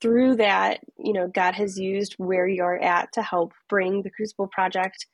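A woman's voice: mid-range voice, level tone, measured pace, dry recording. A woman hosting a podcast interview in a friendly, affirming way. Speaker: podcast host.

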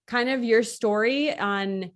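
A woman's voice: a little high, slow pace. Enthusiastic woman hosting a podcast. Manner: gushing, enthusiastic. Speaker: podcast host. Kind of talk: casual upbeat interview chat.

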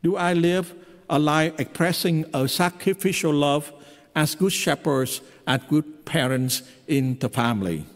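A man with a Vietnamese accent, preaching a homily as a priest, pausing often with fillers. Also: a little high. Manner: halting, with frequent fillers. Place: church. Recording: long hall reverb in a church.

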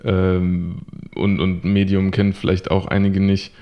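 A man hosting a podcast, asking his guest questions in long, winding, relaxed runs. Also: low-pitched, measured pace, muffled recording. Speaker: podcast host. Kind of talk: conversational podcast interview.

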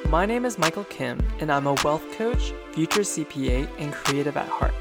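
A man's voice: on the high side, animated, moderate pace, over loud music. A man hosting a podcast interview, upbeat and eager as he introduces his guest and asks questions. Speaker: podcast host.

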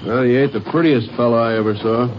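An actor playing a folksy, inquisitive sheriff sidekick with a plainspoken drawl in a 1950s radio western. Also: male voice, mid-range voice, quick pace, natural voice. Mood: curious, emphatic. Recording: very noisy, muffled old radio recording.

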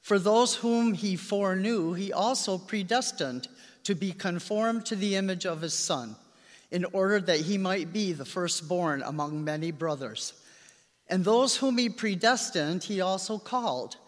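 High-voiced man reading scripture in church. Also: measured pace. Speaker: scripture reader at a church service. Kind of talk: formal scripture reading aloud. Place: large reverberant church. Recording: long echoing church reverb.

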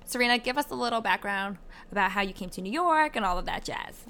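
A woman's voice: mid-range voice, animated, quick pace, pushed and bright, clean tone. A woman from Hong Kong hosting a casual podcast with an upbeat, playful manner. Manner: upbeat, playful food wordplay in a scripted intro. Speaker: podcast host.

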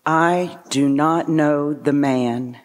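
A man's voice: a little high, extremely slow. A man reading the part of a defensive disciple in a dramatized scripture reading. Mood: defensive denial. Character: defensive disciple Peter denying his master. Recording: long church reverb.

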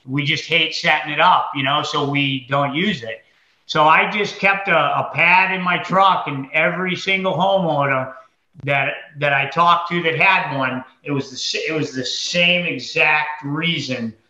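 Bright-voiced Massachusetts man in an echoey room. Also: on the high side, a little slow. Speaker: entrepreneur guest. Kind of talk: casual interview storytelling. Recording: dull lo-fi, roomy echo.

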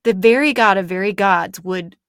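Earnest woman with a gravelly edge. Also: mid-range voice, normal speed, fairly bright tone, a little hiss or hum. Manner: earnest, emphatic, explaining. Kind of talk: casual faith conversation.

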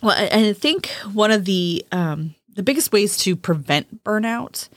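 A woman's voice: mid-range voice, expressive, measured pace, no echo, clean studio sound. A woman co-hosting a casual podcast chat, talking freely, laughing often and repeating words as she gathers her thoughts. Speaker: podcast co-host.

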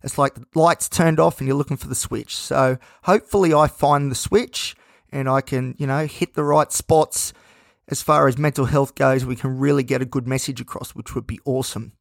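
A man hosting his own podcast, talking in a candid, rambling way with many fillers. He sounds a little croaky and nervous, with a dry mouth. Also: medium pitch, steady tone, moderate pace, dry recording. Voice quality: slightly croaky. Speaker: podcast host. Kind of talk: casual solo podcast monologue.

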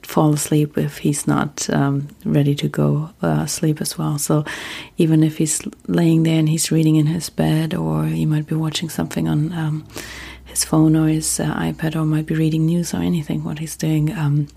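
A woman whose first language is German, talking softly and partly in a whisper, with frequent ums, as host of a sleep podcast. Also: low-pitched, completely flat delivery, normal speed, creaky, husky. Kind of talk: soft-spoken casual monologue.

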